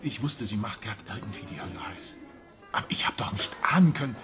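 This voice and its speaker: Actor as defensive cheating husband, very animated, over music. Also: male voice, fairly low pitch, lightly acted. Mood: defensive, agitated. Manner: hesitant, halting, defensive. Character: married man evasively ending his affair, self-justifying. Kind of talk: German radio crime drama acting. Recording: music behind the voice.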